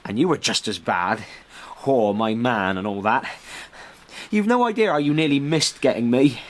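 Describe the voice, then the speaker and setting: An actor playing a rough, proud young servant, speaking bluntly and colloquially in a radio drama. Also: male voice, mid-range voice, lively intonation, normal speed, natural voice. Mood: bitter, defiant.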